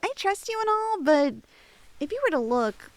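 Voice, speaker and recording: A young woman playing a shy, lovestruck cosplayer in an intimate ASMR roleplay, with girlish slangy speech and a halting, bashful manner, close on the mic. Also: extremely high pitch, big pitch swings, no room sound. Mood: teasing, casual. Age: young adult. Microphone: close, dry studio-style microphone.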